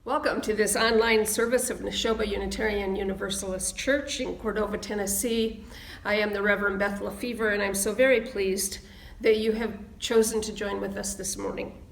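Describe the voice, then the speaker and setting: A woman's voice: mid-range voice, fairly even intonation, gravelly. Reverent woman minister, echoey room. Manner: slow, reverent reading. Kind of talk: reading opening words at a service.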